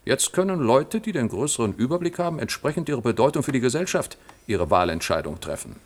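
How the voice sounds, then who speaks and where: An actor playing a smug, scheming middle-aged general in a German science-fiction radio drama, with an oratorical, condescending manner. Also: male voice, mid-range voice, big pitch swings, fast talker. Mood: smug, confiding.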